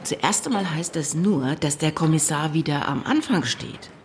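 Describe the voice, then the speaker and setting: A shrewd police typist in a crime radio drama. Her voice sits a little low and moves with very lively intonation, wry, unflappable and matter-of-fact. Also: normal speed, a little hiss or hum.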